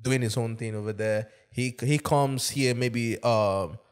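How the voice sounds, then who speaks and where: Man, animated, slang-heavy, casual podcast co-host. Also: mid-range voice, a little slow, clear, resonant tone. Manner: animated, slangy, lots of "bro" and "you get me". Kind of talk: casual chat.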